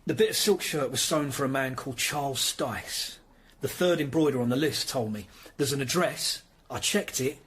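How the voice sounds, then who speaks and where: An actor playing a tough, loyal lawyer's assistant in a period radio drama, blunt and plain-spoken. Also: male voice, mid-range voice, moderate pace, fairly bright tone, slight background noise, lightly acted. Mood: brisk, wry.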